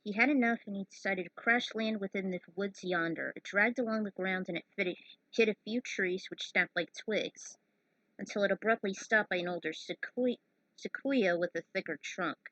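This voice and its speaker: A woman's voice: mid-range voice, normal speed, a bit bright, dry recording, poor audio. A woman in her thirties giving casual, opinionated commentary on her own fan fiction as author and narrator. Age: 30s.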